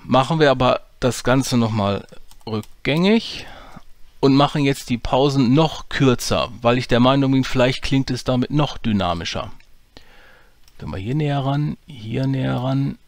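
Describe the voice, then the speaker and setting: A man's voice: medium pitch, moderate pace, dry recording. Casting: man, dry close voice, casual tutorial explainer with fillers. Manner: relaxed, explanatory, many fillers. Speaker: audio drama producer teaching editing. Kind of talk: screen-recorded software tutorial.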